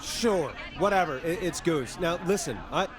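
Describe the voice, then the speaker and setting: An actor playing a wry, put-upon late-night radio host on air in a video game. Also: male voice, on the high side, expressive, normal speed, crowd noise, noisy background, close to an everyday voice. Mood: exasperated. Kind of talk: video game acting of live radio talk.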